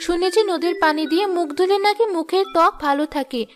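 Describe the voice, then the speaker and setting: A woman's voice: very high voice, fast talker, soft background music. A woman playing a vain, quarrelsome young village wife in a cartoon, with a haughty, complaining manner. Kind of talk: cartoon voice acting.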